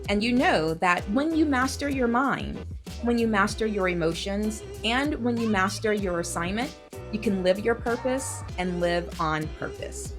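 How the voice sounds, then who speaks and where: A woman hosts her own coaching podcast in an upbeat, encouraging way, and her closing remarks sound practiced. Her voice sits in a middle pitch range. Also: animated, measured pace, music in the background. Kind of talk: podcast interview hosting.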